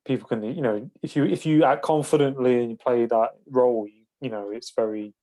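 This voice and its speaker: A man's voice: medium pitch, steady tone, fairly quick, mellow, poor audio. A man hosting a podcast, chatting casually and rambling with lots of fillers. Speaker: podcast host. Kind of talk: casual interview chat. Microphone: phone call.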